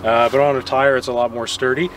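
A young man giving a casual, hands-on how-to demonstration outdoors in the snow, pausing and restarting as he works. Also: mid-range voice. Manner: relaxed, hands-on, stops and starts while working. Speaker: gear maker demonstrating a product. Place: outdoors in snow beside a vehicle. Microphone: camera mic from a few steps away. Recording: distant outdoor mic with ambient noise.

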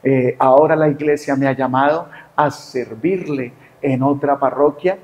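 A man's voice: medium pitch, steady tone, unhurried, darkish tone. A male priest, speaking after Mass in an emotional, grateful, heartfelt way.

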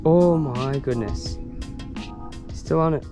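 A young Australian man excitedly narrating while wading and fishing in mangrove shallows outdoors, laughing often and talking in loose slang. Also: fairly high pitch, slow, over music. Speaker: fishing vlogger. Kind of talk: casual on-the-spot narration.

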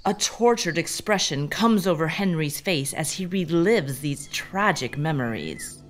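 A woman's voice: low voice, animated, unhurried, faint music behind. A woman narrating a scripted story as a storyteller in an audio drama.

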